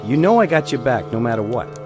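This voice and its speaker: A man's voice: medium pitch, big pitch swings, fast talker, music in the background, natural voice. Man acting a swooping, streetwise American henchman. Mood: questioning, deferential. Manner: colloquial, wiseguy phrasing. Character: blunt, streetwise henchman with a noble title, questioning his boss. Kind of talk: animated fantasy drama dialogue.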